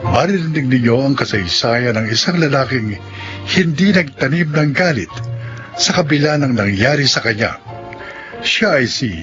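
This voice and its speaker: A man's voice: mid-range voice, expressive, normal speed. A man with a Filipino accent announcing credits and introducing a story in a scripted, dramatic radio style. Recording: dull lo-fi audio with clear music behind.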